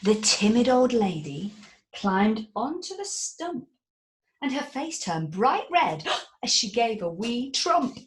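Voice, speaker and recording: Author reading her picture book aloud, slow and dramatic, whispers and gasps, roomy echo. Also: on the high side. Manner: expressive, sing-song, with dramatic whispers and gasps.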